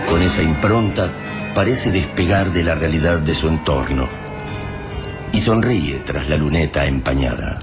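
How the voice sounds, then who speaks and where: Deep, solemn Argentine radio narrator. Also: male voice. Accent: Argentine Rioplatense. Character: solemn, poetic omniscient male storyteller. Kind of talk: dramatic scripted radio narration. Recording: dramatic music under the voice.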